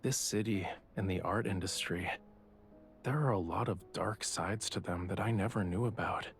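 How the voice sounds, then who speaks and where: An actor playing a self-assured yet quietly vulnerable young artist in a romance game, speaking softly and intimately with a teasing touch. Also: male voice, low-pitched, normal speed, vocal fry, faint music behind. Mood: reflective, subdued.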